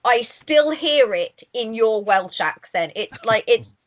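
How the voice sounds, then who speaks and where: A woman co-hosts a casual podcast with a bright, giggly manner. Her voice sits fairly high and swings widely in pitch as she chats and teases. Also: animated, slow, low-quality recording. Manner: giggly, chatty, playful. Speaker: podcast co-host.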